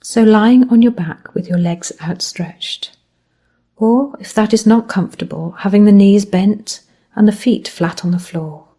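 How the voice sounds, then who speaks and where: A woman teaches mindfulness through a guided body scan. Her voice is soft-edged and mellow, with a husky, breathy grain. She delivers each phrase gently and with measured care, letting the endings fall away softly. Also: mid-range voice.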